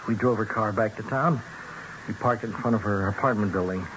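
An actor playing an anxious, fast-talking political fixer in a 1970s radio drama, urgent and placating, stumbling over words. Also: male voice, fairly low pitch, lightly acted. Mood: smooth, assured. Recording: dull, noisy old radio broadcast.

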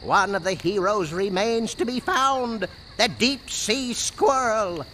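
A man's voice: high voice, takes it slowly, pushed and bright, slight background noise, full character voice. A man voicing a wise old creature guide in a video game, grave, urgent and portentous. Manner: portentous, storytelling. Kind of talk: video game cutscene acting. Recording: music behind the voice.

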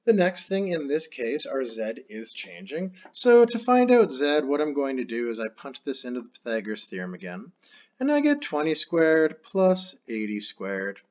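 A man's voice: medium pitch, huge pitch swings, normal speed, muffled recording. A man teaching a calculus problem step by step while writing it out on screen. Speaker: math teacher. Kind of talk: screen-recorded math lesson.

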